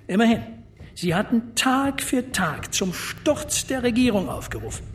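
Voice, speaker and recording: An actor playing a man who solemnly eulogizes slain opponents in a radio drama, with measured, pointed delivery and deliberate pauses. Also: extremely high pitch, sing-song, distant mic, slight background noise, exaggerated voice. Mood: grave, reproachful.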